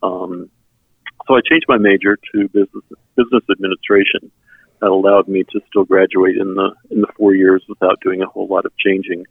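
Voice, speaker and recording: A middle-aged man from South Texas whose first language is German, telling his personal story in an earnest, halting way as an interview guest. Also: low-pitched, little pitch movement, creaky, husky, dry recording. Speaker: interview guest, member services volunteer. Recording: tinny phone-like sound, band-limited.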